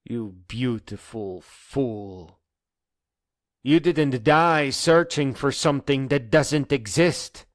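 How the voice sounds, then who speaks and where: A man delivering a dramatic spoken-word monologue in an earnest, emphatic manner that moves between hushed and insistent phrases. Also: mid-range voice, slow, dry recording, clean studio sound.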